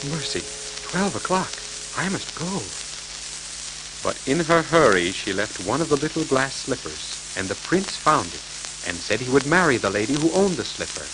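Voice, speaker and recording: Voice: mid-range voice, very animated, brisk. A storyteller reading scripted fairy tales to children on a crackly 1940s record.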